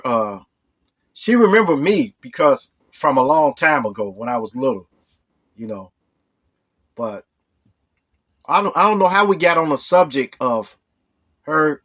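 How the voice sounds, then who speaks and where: A hoarse man with a cold telling a rambling personal story as the host of a storytelling channel. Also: on the high side, fairly bright tone. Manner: rambling, full of "you know". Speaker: storytelling vlogger. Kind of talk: casual storytelling.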